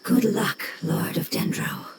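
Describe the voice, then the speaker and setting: A woman voicing a serious, cautious nature spirit in an anime game dub, breathy, formal and grave. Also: takes it slowly, whispered, lightly acted. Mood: grave, earnest. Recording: loud music playing behind the voice.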